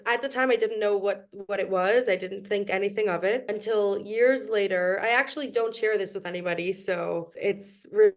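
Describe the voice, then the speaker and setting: Reflective young woman with many ums. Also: medium pitch. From New York, US. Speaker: podcast guest. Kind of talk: casual interview chat.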